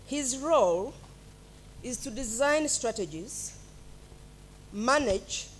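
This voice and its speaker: A woman reading out a guest speaker's biography at a graduation ceremony. She speaks very slowly and formally, her voice a little high. Faint noise sits under the recording. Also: lively intonation, low-quality recording. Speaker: master of ceremonies. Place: ceremony hall.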